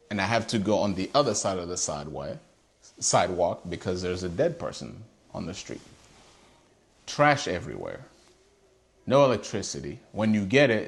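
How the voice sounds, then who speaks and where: A man from Haiti hosting his own channel, talking earnestly and passionately to camera and asking pointed rhetorical questions. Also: low voice. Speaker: host. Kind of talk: earnest personal monologue to camera.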